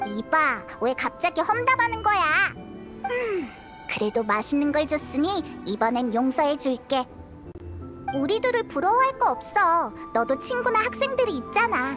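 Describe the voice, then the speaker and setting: A tiny fairy girl companion in an anime game. The voice is extremely high and childlike, bouncing with animated, cheeky expression. Loud game music runs behind it. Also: moderate pace. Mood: indignant, chatty. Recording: studio voice over game music.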